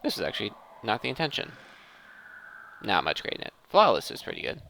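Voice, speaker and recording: A man's voice: on the low side, huge pitch swings, a little fast, gravelly, sharp tone, slight background noise, low-quality recording. A man giving casual gameplay commentary, thinking aloud and often interrupting himself mid-thought. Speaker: gaming video host.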